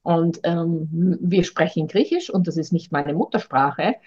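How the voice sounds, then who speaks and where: A woman's voice: on the low side, measured pace, darkish tone. A middle-aged woman, speaking as a communication coach in a thoughtful, hesitant way and addressing the listener directly. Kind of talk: reflective advice monologue. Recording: dull lo-fi recording.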